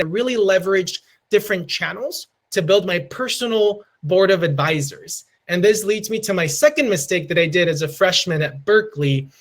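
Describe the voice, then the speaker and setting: A man's voice: high voice, a bit bright. A man in his twenties from Peru with a Peruvian Spanish accent, giving an earnest motivational talk and slipping Spanish phrases into his storytelling. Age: 20s. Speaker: speaker giving a lightning talk.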